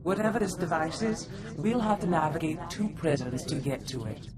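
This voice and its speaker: A woman's voice: deep voice, normal speed, crowd noise, lightly acted. A woman voicing an earnest, formal, scholarly advisor in a game cutscene. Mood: earnest, explanatory.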